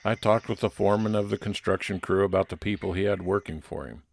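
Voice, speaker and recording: A man's voice: low voice, steady tone, quick pace, creaky, slight background noise. A man playing an observant, wry private investigator, matter-of-fact, in old-time radio detective style.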